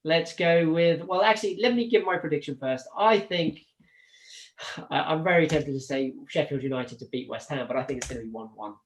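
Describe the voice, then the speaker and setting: A man hosting a casual football chat, relaxed and chatty. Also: on the high side, a little fast, low-quality recording. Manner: relaxed, chatty, sighs and laughs. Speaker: podcast host.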